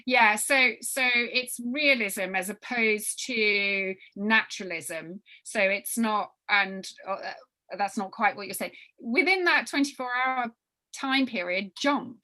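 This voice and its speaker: Woman workshop leader, bright forceful voice, thinks aloud and repeats phrases for emphasis. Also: mid-range voice, slow. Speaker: workshop leader briefing young writers. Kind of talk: informal spoken briefing with question and answer.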